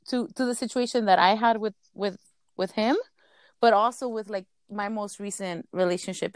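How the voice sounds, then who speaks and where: A woman's voice: a little high, clean tone, no echo. A woman co-hosting a podcast in casual chat, whose first language is Spanish, rambling nervously and stuttering now and then. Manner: rambling, nervous, laughs often. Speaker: podcast co-host.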